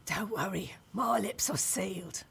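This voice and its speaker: A woman playing a weary, wry protester in an audio drama, raspy and creaky. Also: mid-range voice, measured pace.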